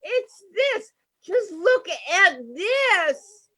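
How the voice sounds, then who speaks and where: A very high, bright voice of a woman acting an anxious wife in a radio drama. She speaks slowly and haltingly, fretting and pleading with her husband. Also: very animated, full character voice. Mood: anxious, upset. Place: home, over a video call.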